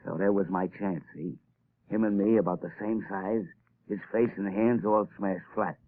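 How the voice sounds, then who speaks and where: A man's voice: on the low side, fairly even intonation, moderate pace, natural voice. An actor playing a sneering gangster in a 1930s radio drama, talking tough-guy slang, on a tinny old broadcast recording. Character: gruff male gangster, menacing, sneering.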